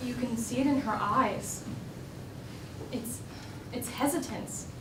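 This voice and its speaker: A woman's voice: fairly high pitch, takes it slowly. A woman acting on stage as an opinionated, frustrated woman arguing her side earnestly and emphatically. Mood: earnest, insistent. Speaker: stage actor. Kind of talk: stage play dialogue. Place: indoor stage, recorded from afar. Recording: echoey stage recording, distant mic, noisy.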